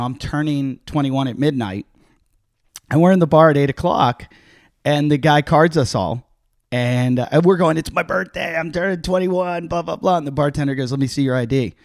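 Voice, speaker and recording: A man's voice: medium pitch, no room sound, studio quality. Middle-aged man telling rambling bar stories. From Pittsburgh. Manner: rambling, self-mocking, acts out voices in anecdotes. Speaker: podcast host, comedian. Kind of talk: casual storytelling chat.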